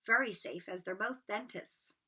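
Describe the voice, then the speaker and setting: A woman voicing a brisk, earnest schoolgirl in a storybook. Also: medium pitch, pushed and bright, slightly rough.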